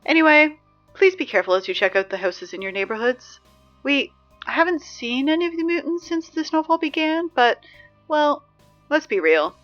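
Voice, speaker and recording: A woman playing an anxious, wry high school girl reading morning announcements in an audio drama, rambling with sardonic, hesitant asides. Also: very animated, normal speed, fairly bright tone, highs cut off, faint music behind. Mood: awkward, uneasy.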